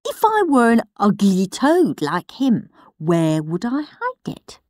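Gloating, mischievous boy, acted by a woman, swooping pitch. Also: wildly swooping pitch, a little mellow, no room sound, clean studio sound, exaggerated voice. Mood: scheming. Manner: drawn-out, gloating, emphatic. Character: mischievous, scheming, gleefully rude young boy.

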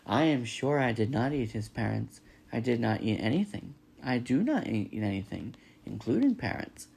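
A man's voice: medium pitch, sing-song, moderate pace, gravelly, a little mellow, a little hiss or hum. Man playing a polite, precise female robot. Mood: plain, literal. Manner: precise, formal phrasing without contractions. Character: female robot, polite, literal, learning to act friendly.

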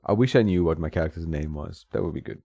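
A man's voice: deep voice, fast talker, gravelly, dark tone, breathy edge, no room sound. A man in his thirties giving casual, joking commentary while he plays a game at home, laughing often and talking to the screen. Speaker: gameplay streamer. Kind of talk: casual let's-play commentary. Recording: slightly dull, dry sound with game music.

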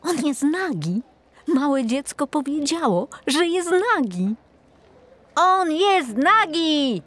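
Awestruck townsfolk from a fairy tale, played by a woman, exclaiming in a high voice. The words are stretched out slowly. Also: big pitch swings, fairly bright tone, heavily acted. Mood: astonished. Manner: exclaiming, drawn-out.

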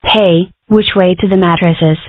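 A woman's voice: lowish voice, fairly even intonation, unhurried, dry recording. A woman voicing a scheming, bossy young fox girl in a comic strip dub, with a dramatic way of talking. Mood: matter-of-fact. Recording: muffled narrow phone-like sound.

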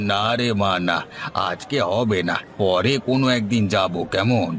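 A man's voice: fairly low pitch, unhurried, a bit bright, slight background noise. A man voicing a friendly, teasing village fish seller in a cartoon, with a jovial, avuncular way of asking things. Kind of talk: animated cartoon storytelling dialogue.

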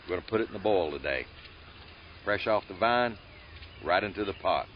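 A man's voice: sits low, expressive, moderate pace. A middle-aged American man, a farmer, chatting casually and wryly as he works outdoors. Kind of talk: casual chat while working. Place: outdoors in a farm field. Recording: dull outdoor sound, faint nature noise.

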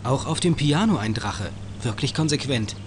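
Lively young boy detective, played by a man. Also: medium pitch, moderate pace, lightly acted. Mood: observant, wry. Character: bright, self-assured teenage boy detective. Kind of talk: scripted point-and-click game dialogue. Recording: game audio with clear noise.

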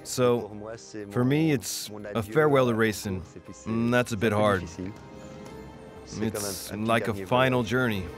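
A man in an interview, speaking in a calm, thoughtful, slightly wistful way. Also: fairly low pitch, unhurried, pauses often, over loud music. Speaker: musher. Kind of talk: reflective interview.

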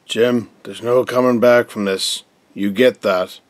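Male actor as a nervous officer, radio play, unhurried. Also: lowish voice, fairly even intonation, no echo, lightly acted. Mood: uneasy. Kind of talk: fan radio drama acting.

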